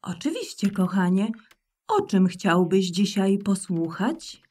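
A woman voicing a gentle, loving mother rabbit in a children's audio drama, speaking tenderly and calling her son sweet names. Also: medium pitch, big pitch swings, slow pace, breathy edge, heavily acted. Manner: tender and soothing, calls her son endearments.

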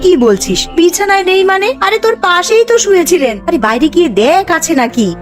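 A woman voicing a dutiful, anxious young village housewife in a cartoon, earnest and expressive. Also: extremely high pitch, quick pace, faint music behind. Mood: busy, worried. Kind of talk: Bengali animated ghost-story voice acting.

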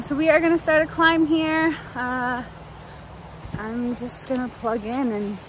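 A young woman talking in a chatty, informal, rambling way, like a casual video diary recorded on a phone out in the woods. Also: a little high. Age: young adult. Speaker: vlogger hiking a long trail. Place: outdoors in woodland. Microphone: phone at arm's length. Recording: faint outdoor ambient noise.

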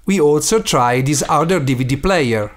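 A man's voice: fairly high pitch, expressive, normal speed, a little hiss or hum. A man from Italy with an Italian accent and non-native English phrasing, narrating a scripted DIY tutorial as the host. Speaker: DIY video maker and host.

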